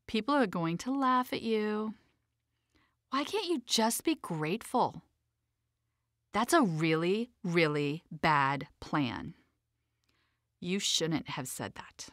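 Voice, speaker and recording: Lady doing a nagging inner critic for an audiobook, hushed and sneering, belittling, close dry sound. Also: fairly low pitch, no echo, voice pushed down, exaggerated voice.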